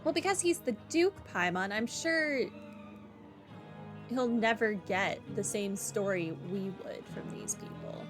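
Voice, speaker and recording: A woman's voice: a little high, expressive, a little slow, over music. A woman doing casual video game playthrough commentary, reading some dialogue aloud.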